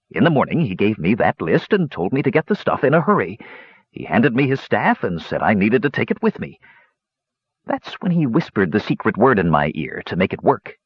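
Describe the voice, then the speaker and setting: A man's voice: medium pitch, slightly rough, dry recording, highs cut off, exaggerated voice. A man voicing a crotchety old male dwarf hermit who talks stubbornly and curtly. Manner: stubborn, curt.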